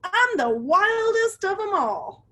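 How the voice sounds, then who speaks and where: A woman voicing an excited, boastful little penguin child, exclaiming and showing off in a picture-book read-aloud. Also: very high-pitched, takes it slowly, voice pushed up, full character voice.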